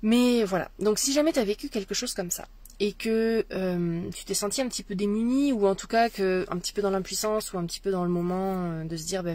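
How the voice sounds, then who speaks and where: A woman's voice: mid-range voice, darkish tone, no room sound. A woman from France, a spiritual coach, talking casually to her listener in a hesitant way, with many fillers and restarts. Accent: French. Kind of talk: casual spiritual monologue to camera.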